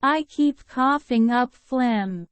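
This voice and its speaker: A woman reading English phrases in a measured way for learners to repeat. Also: a little high, no echo. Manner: measured, clear drilling. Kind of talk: slow repeated phrase drills.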